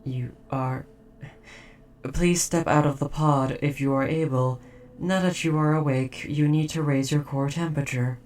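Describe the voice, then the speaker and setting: A performer voicing a dutiful robot soldier in an audio drama, speaking in a formal, precise, measured and softly hesitant way. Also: mid-range voice, steady tone, distant mic, slight background noise, close to an everyday voice. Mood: careful, caring. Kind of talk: scripted roleplay audio drama.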